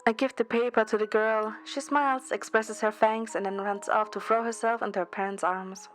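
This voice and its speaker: A woman's voice: a little high, fairly even intonation, normal speed. A woman reading scripted first-person story narration as an amateur narrator. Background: background music.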